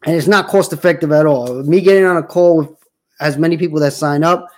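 A man's voice: a little high, a little fast. A man in his forties hosting his own show, talking casually and rambling with many fillers and restarts. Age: 40s. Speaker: show host. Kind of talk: casual livestream talk.

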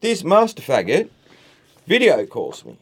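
A man guesting on a podcast, swearing freely and acting out both sides of a quarrel in a casual, animated chat. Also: a little high, measured pace, breathy edge. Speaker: podcast guest, battle rapper. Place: podcast studio.

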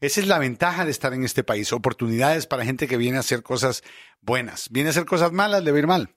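A man's voice: medium pitch, expressive, quick pace, a bit bright, no room sound, studio quality. A man from Guatemala co-hosting a Spanish-language talk show, arguing his points with emphatic repetition. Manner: emphatic, repeats points for force. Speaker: talk show co-host, lawyer. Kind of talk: casual radio talk show discussion.

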